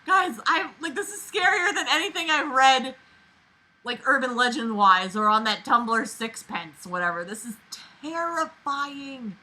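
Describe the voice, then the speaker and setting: A woman reading aloud and commenting with exasperated, animated, emphatic reactions that swing dramatically in intensity. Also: sits high, a little slow, rough recording.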